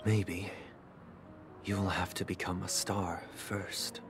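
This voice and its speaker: A very low male voice with music behind it. He is a solemn, brooding brother who has lost faith. His delivery is measured, grave and philosophical. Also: level tone. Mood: wistful, soft. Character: solemn young man, brooding brother disillusioned about the weak. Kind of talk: dramatic video game voice acting.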